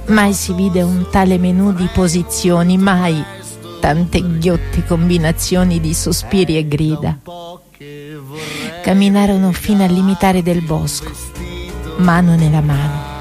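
A woman's voice: fairly low pitch, fairly even intonation, unhurried, a little mellow. A woman narrating a radio play as an actress, in a dramatic, tragicomic storytelling style. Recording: music behind the voice. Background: music.

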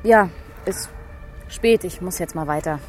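A woman's voice: on the low side, a little slow, over music, lightly acted. An actress playing a friendly, teasing policewoman in a radio drama, speaking casually. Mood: relaxed, teasing. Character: friendly, teasing policewoman, older than her colleague. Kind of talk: naturalistic radio drama dialogue.

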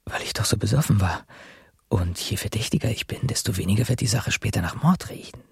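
A man acting a scheming, rattled murder suspect's muttered inner voice in a German radio drama, breathy and coarse. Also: lowish voice, brisk, no room sound, studio quality, full character voice. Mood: furtive, calculating. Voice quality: breathy, husky half-whisper.

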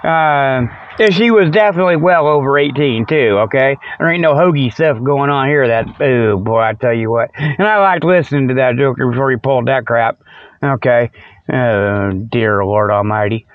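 A man's voice: medium pitch, normal speed, smooth, no room sound. An American man hosting a comic show in a rambling casual monologue, folksy and meandering, with joking asides. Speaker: host of a comic Sasquatch show. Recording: dull, lo-fi radio-like sound, close and dry.

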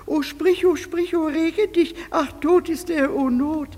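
A woman playing a melodramatically grieving lover in a radio play, declaiming clumsy tragic verse in drawn-out laments. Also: high-pitched, steady tone, warm tone. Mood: melodramatic grief. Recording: long hall reverb, faint music behind.

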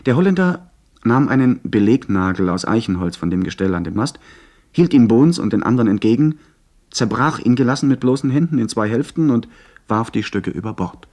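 An actor playing an earnest young gentleman who narrates a radio play in an articulate, literary way. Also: mid-range voice, animated, fairly quick. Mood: narrating.

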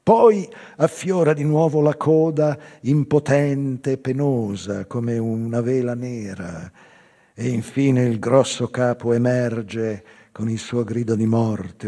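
An older man giving a slow, measured, theatrical reading of a literary text, with a husky, dark voice. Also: mid-range voice. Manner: slow, measured, theatrical delivery with swelling and hushed phrases. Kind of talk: dramatic literary narration with live music. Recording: long hall reverb, faint music. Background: live music.

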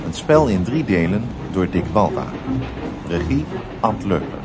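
Deep, slow male announcer over music. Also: big pitch swings. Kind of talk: radio play title announcement.

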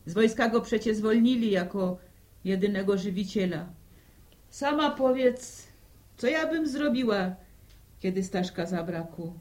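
A woman's voice: medium pitch, a little slow, darkish tone, close to an everyday voice. An actress playing an anxious older village widow and protective mother in a radio drama, fretful and sighing. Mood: defensive.

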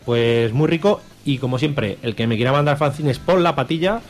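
A man's voice: mid-range voice, normal speed, faint music behind. A man with a Spanish accent from Spain co-hosts a radio show, reviewing and bantering in a casual, jokey, colloquial and irreverent way. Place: radio studio.

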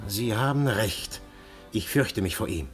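An impulsive, intense young poet-detective in a radio play. His voice moves through a wide, lively pitch range. He swings from urgent whispers to sudden loud cries. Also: a little slow, faint music behind. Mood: earnest. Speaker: poet turned police detective. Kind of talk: German radio play acting.

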